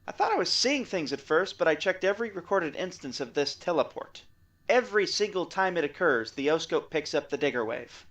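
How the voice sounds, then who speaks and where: Man acting as excitable male scientist, higher-pitched, explanatory, wry, technical, audio drama. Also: brisk. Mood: explaining, keen.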